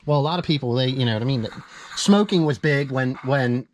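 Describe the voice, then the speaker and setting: A man's voice: mid-range voice, brisk, slight background noise, poor audio. A man in casual hobby chat, speaking in an enthusiastic, agreeable and rambling way as a visiting vlogger. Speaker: vlogger visiting a radio collector.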